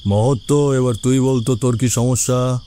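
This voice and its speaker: A man acts out a village headman in a cartoon, stern and self-righteous. His mid-pitched voice is mellow yet commanding, with drawn-out hums and a condescending edge. Faint noise and crickets sit behind him. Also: fairly even intonation, natural voice. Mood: curt, restrained. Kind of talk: cartoon voice acting.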